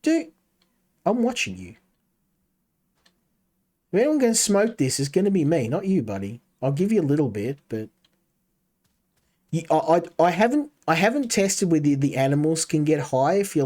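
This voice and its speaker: A man's voice: a little high, sing-song, normal speed, pauses often, mellow. A man streaming a game, chatting casually and rambling while talking to his chat. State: coming down with a cold.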